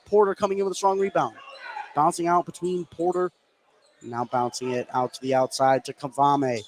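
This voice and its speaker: A man doing live radio play-by-play of a basketball game, getting excitable on big plays. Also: a little high, moderate pace, a little hiss or hum. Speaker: play-by-play commentator.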